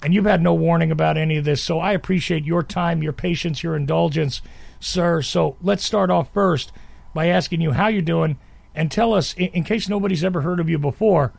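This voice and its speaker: A man's voice: on the high side, fairly quick, gravelly, no room sound. A man hosting a podcast interview, talking in a rambling, emphatic way that keeps interrupting itself. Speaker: podcast host. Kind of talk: conversational interview.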